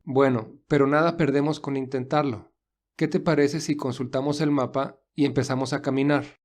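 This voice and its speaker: A man's voice: medium pitch, steady tone, moderate pace, darkish tone, close to an everyday voice. A man playing a courteous, resourceful young man in an audio story, speaking earnestly and reassuringly. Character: polite, resourceful young man stranded with his companion. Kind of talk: audio story character dialogue.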